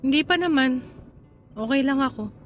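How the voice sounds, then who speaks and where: Secretive partner, Filipino, high voice, hesitant, deflecting, radio drama, soft music bed. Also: female voice, lively intonation, moderate pace. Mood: guarded, evasive. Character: guarded, evasive woman hiding a secret from her partner.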